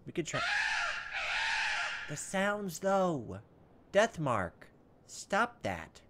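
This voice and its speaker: A man's voice: sits high, drawn-out words, raised voice. Man narrating a game over noticeable noise. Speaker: let's play host. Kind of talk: game playthrough, reading text aloud with casual commentary. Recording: steady background noise over the voice.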